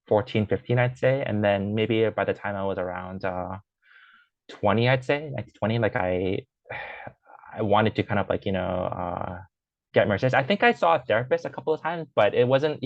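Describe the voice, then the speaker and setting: A man's voice: lowish voice, a little fast, no echo, lo-fi sound. A man in a casual interview, talking hesitantly with lots of fillers and restarts. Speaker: podcast guest.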